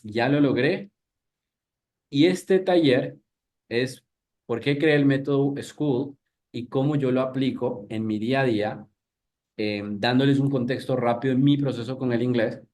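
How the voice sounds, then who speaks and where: Man, 30s, from Ecuador, English teacher, live workshop, chatty, restarts sentences, quizzes the audience, mid-pitched voice. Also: a little mellow. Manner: conversational, many restarts and fillers, asks the audience questions.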